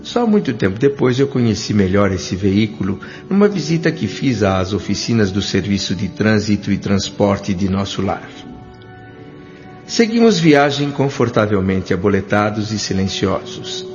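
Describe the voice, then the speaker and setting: A thoughtful doctor recounts his memories , voiced by a man in a measured Brazilian Portuguese accent. The voice sits fairly low and is literary and reflective. The sound is slightly dull, with soft music underneath. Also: lively intonation, lightly acted. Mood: solemn, reflective. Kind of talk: scripted first-person audio drama narration.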